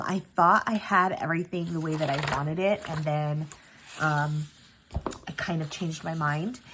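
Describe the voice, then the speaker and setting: Low-voiced chatty woman with a stuffy nose. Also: slight background noise. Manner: chatty, frequent ums and self-corrections. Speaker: planner sticker channel host. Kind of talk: casual planning vlog chat.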